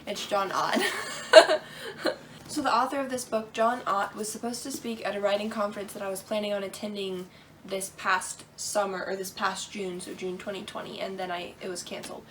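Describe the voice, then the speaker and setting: A woman's voice: medium pitch, fairly even intonation, moderate pace, fairly bright tone, recorded from a distance, slight background noise. A woman talking eagerly about the books she got, rambling a little between titles. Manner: enthusiastic, rambling. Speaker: co-host. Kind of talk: casual book haul chat.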